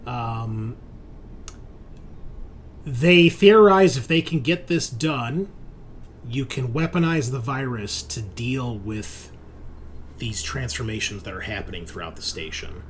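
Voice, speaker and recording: A man running a tabletop horror game as game master, narrating in a halting way as he thinks aloud and checks his notes. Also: medium pitch, lively intonation, a little hiss or hum.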